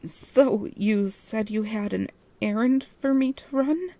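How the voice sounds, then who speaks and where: A woman's voice: fairly high pitch, takes it slowly, mellow, no room sound, voice pushed up. A woman voicing an anxious female night guard who blusters and puts on a brave face, in a story reading. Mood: wary bravado.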